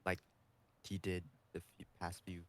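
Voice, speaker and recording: A man on a podcast panel talking casually in a measured, hedging way. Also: low-pitched, monotone. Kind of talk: casual discussion.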